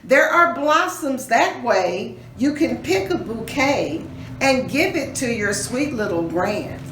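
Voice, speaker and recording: A woman's voice: on the high side, lively intonation, takes it slowly. A woman voicing a sly, hungry male storybook wolf who coaxes sweetly while posing as a granny. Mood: coaxing. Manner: coaxing, falsely sweet. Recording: roomy echo, distant mic, faint noise.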